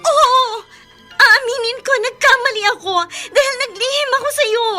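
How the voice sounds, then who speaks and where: Very high-pitched Filipino younger sister. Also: female voice, normal speed, pushed and bright, faint music behind. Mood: pleading insistence. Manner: pleading, earnest, emotional. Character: pleading, defensive younger sister, a young student. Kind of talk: Filipino radio drama acting.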